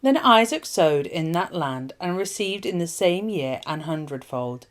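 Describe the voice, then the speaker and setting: A woman reading scripture aloud as formal narration, in measured, solemn phrases. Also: fairly low pitch, animated. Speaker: Bible reader.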